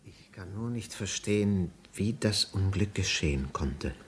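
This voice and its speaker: An actor playing a sober, sympathetic railway official in a radio play, measured and concerned. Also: male voice, sits low, animated, breathy edge, heavily acted. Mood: concerned, reassuring. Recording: slightly noisy radio drama recording.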